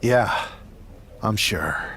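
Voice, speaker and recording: Defiant, hot-tempered wrestler. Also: male voice, fairly low pitch, drawn-out words, gravelly, fairly bright tone, heavily acted. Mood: grudging, firm. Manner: confrontational, scornful. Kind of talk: video game cutscene dialogue.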